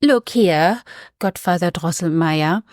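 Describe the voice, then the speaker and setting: A woman reading aloud, voicing a lively, bold young boy. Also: lowish voice, slow pace, no room sound, clean studio sound. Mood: cheeky.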